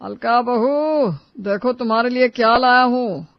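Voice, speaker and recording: Elderly, old-fashioned father-in-law, acted by a man, high-pitched, taunting and demanding, dry lo-fi family story sound. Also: a little slow, no echo. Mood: sly. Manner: grumbling, demanding. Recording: dull lo-fi audio.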